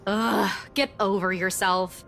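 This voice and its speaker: An actress playing a tired, defensive young woman who answers with curt, sharp retorts. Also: medium pitch, steady tone, slow pace, gravelly, faint music behind.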